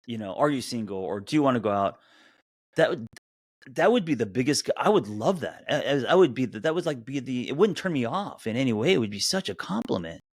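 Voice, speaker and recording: A man in his fifties co-hosting a podcast, chatting casually in an animated, rambling way with many restarts. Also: medium pitch, dry recording, clean studio sound. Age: 50s. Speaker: podcast co-host. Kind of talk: casual chat.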